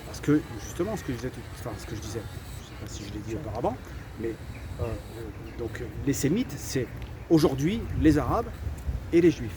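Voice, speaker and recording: A man speaks at a middle pitch, stumbling through fillers and repeated words before landing on firm, flat statements. Steady traffic noise comes through clearly behind him on a city street. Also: brisk. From France. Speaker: vlogger. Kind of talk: opinion talk to camera.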